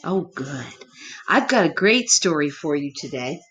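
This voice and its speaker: A woman storyteller reading a picture book to children, speaking simply and expressively, with gentle questioning asides to young listeners. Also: low-pitched, very animated, unhurried.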